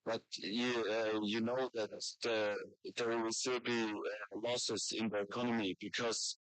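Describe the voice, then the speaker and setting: Lower-voiced man from Switzerland in debate. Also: little pitch movement, measured pace, fairly bright tone, clear, resonant tone, dry recording, low-quality recording. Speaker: youth parliament delegate. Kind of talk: formal debate, halting delivery.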